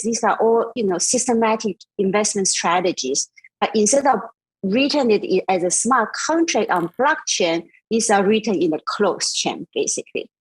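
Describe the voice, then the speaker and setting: Middle-aged woman guest, husky and dry, measured explainer, lots of ums. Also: mid-range voice, no echo, low-quality recording. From Taiwan, raised in Austria. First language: Mandarin. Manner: measured, explanatory, frequent fillers. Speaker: DeFi company CEO, guest. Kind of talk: interview answers.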